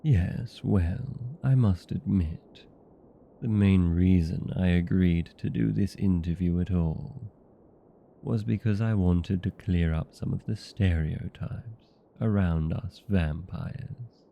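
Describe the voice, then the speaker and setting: A man playing a vain, playful, chatty old vampire in a comedic audio roleplay, with a gravelly, husky voice and theatrical, courteous manner. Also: deep voice, takes it slowly, warm tone, slight background noise, heavily acted. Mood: earnest, chatty.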